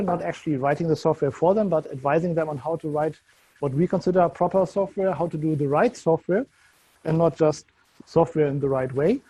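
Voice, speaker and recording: A man's voice: fairly high pitch, dark tone, no echo, poor audio. A man from Germany, speaking English with a German accent, gives a loose, searching conference presentation full of ums and restarts. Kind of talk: tech meetup presentation.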